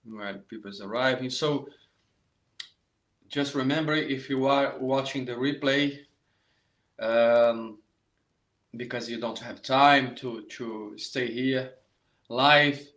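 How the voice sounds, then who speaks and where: A Brazilian-accented man, middle-aged, talks straight to his online viewers in a voice of ordinary middle pitch. He is a saxophone teacher, halting and repeating words as he goes, with Portuguese as his first language. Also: a bit bright. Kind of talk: live online masterclass talk.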